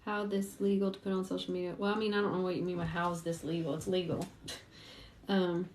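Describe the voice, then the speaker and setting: A woman's voice: fairly low pitch, flat delivery, quick pace, a little mellow, slight background noise. A woman hosting an informal livestream, chatting casually and speaking directly to viewers as she answers their questions. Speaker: host, private investigator. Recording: roomy echo.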